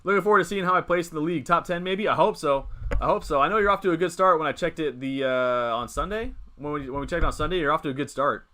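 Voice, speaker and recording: A man's voice: fairly high pitch, quick pace, bright, forceful tone. A young man in his twenties hosting a casual live stream, rambling as he reads and answers the chat. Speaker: livestream host.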